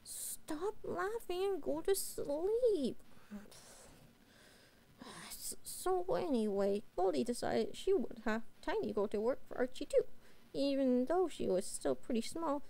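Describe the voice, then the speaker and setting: A woman voicing a cute, playful young catgirl, telling a bedtime story in a halting, chatty, informal way. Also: high voice, very animated, gravelly, warm tone, exaggerated voice, low-quality recording.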